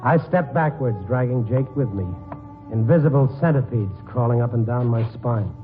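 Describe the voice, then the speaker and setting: An actor playing a grim, wry newspaper reporter who narrates a noir radio drama in a brooding, clipped, dramatic way. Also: male voice, medium pitch, moderate pace, faint music behind, natural voice. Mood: grim, urgent. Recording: tinny 1950s radio broadcast recording.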